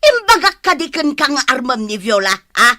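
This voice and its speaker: Stubborn, indignant Ilocano mother for comedy radio drama, played by a woman; very sharp voice, close dry sound. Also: high voice, sing-song, moderate pace, dry recording, heavily acted. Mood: furious. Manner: scolding, indignant, exclaiming. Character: angry, stubborn village mother opposing her son's marriage.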